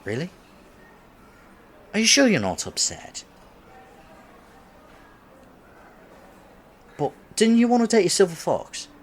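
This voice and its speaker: Man acting flustered geeky guy, very swoopy pitch. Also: huge pitch swings, moderate pace, heavily acted. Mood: surprised, relieved. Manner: flustered, stammering, self-deprecating. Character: geeky, awkward silver fox man on blind date. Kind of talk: roleplay audio drama acting.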